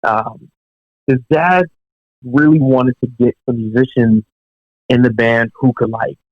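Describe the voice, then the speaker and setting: A young man, a professional drummer, telling career stories in a relaxed, chatty way with lots of fillers and asides. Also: mid-range voice, a little slow, dry recording. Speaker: professional touring drummer, podcast guest. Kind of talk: casual storytelling in an interview. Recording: dull, dry lo-fi podcast audio.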